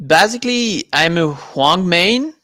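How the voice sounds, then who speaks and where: A man from France with a French accent, a competitive player giving a halting, filler-filled account as a guest on a live video-call interview. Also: sits high, lively intonation, pushed and bright, no room sound, studio quality. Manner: halting, many fillers and repeated words.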